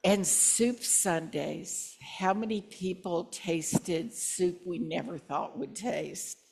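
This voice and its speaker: A woman in her sixties, a minister reminiscing to her congregation with gentle humor in a church. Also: lowish voice, gravelly, slightly rough. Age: 60s. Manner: slow, warm-humored reminiscing with asides. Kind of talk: reflective sermon with congregation sharing. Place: church sanctuary.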